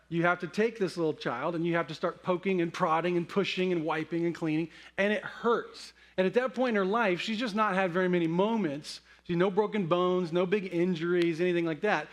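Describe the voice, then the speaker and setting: A middle-aged man preaching a sermon as a pastor, telling stories conversationally and leaning into dramatic, weighted pauses. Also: high voice. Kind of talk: sermon with personal storytelling.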